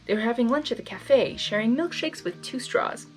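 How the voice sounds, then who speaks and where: A woman reading fan fiction aloud as host, narrating and breaking into casual, expressive reactions. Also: a little high, normal speed, faint music behind. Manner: expressive, animated asides.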